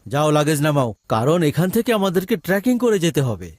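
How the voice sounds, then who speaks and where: A man voicing a fussy, bossy father in a Bangla cartoon dub, brisk and scolding. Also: on the high side, sing-song, darkish tone. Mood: stern, urgent.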